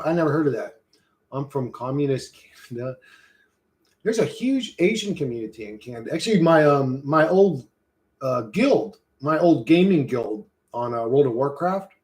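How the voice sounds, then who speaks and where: A man's voice: on the high side, a little mellow. A man streaming live, chatting casually with his viewers, swearing freely and calling everyone bro. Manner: loose, profane, says "bro" a lot; rambling, reads and answers chat.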